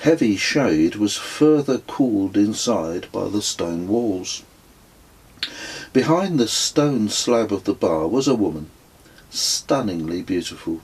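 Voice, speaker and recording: Husky, slow-paced man reading his memoir. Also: lowish voice, lively intonation, darkish tone, poor audio. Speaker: author reading own memoir. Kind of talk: audiobook narration of a reflective travel memoir.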